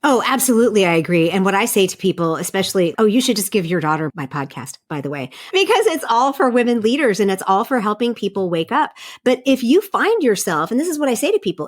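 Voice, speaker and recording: A woman, a guest in an interview, speaking in an earnest, emphatic way. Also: mid-range voice. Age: 50s. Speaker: podcast guest, leadership coach. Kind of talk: casual interview conversation.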